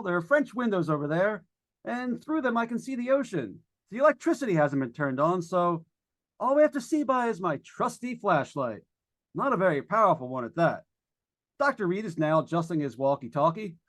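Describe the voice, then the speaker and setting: A breezy, wisecracking announcer from an old-time radio drama played by an actor. The pitch swings widely in a folksy, jokey patter addressed to listeners at home. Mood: brisk, chatty. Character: chatty, wisecracking radio announcer hosting a live ghost hunt. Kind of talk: 1940s radio drama acting.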